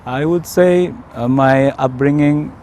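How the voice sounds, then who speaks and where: A man from Indonesia with an Indonesian accent, telling his personal story in a hesitant, careful way with frequent pauses. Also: on the high side, darkish tone, slight background noise. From Indonesia, South Sumatra. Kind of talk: unscripted personal testimony.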